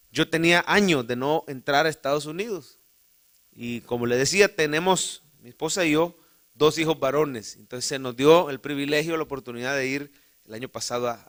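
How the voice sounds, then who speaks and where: Bright high-voiced Salvadoran preacher. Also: male voice. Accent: Salvadoran Spanish. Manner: folksy, humorous, keeps addressing listeners as brother. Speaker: pastor. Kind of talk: sermon with personal storytelling. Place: church sanctuary.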